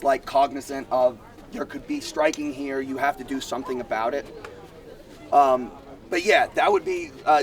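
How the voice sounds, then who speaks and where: A man explaining fighting strategy at a seminar while losing his voice, rambling in quick bursts. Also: medium pitch. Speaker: combat coach. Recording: distant mic outdoors, faint traffic.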